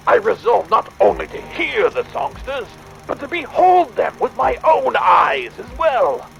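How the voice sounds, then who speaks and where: A man playing a fussy, excitable Victorian gentleman inventor, speaking a pompous, wordy audio diary in a theatrical drama. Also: high-pitched, vocal fry, heavily acted, poor audio. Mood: exasperated. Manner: pompous, wordy, hesitant musing.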